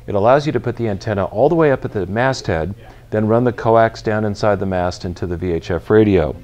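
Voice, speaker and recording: A man in his fifties with a fairly low voice presents an instructional buyer's guide. He explains things clearly and methodically, speaking into a lapel mic. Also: fairly quick, darkish tone. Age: 50s. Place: large retail store, indoors.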